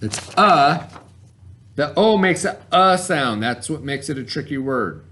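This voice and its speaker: A man's voice: fairly high pitch, lively intonation, measured pace, fairly bright tone. A man teaching a remote reading lesson to children in an informal, instructional way, carefully sounding out letters and words. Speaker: elementary school teacher.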